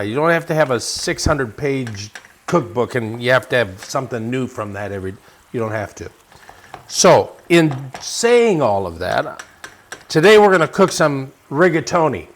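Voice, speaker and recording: A man's voice: medium pitch, a little hiss or hum. A man from Wisconsin with an American accent, casually chatting as he hosts a cooking show in a folksy, encouraging way. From Wisconsin, United States. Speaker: host of a lifestyle and cooking show. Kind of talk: casual cooking demonstration and chat.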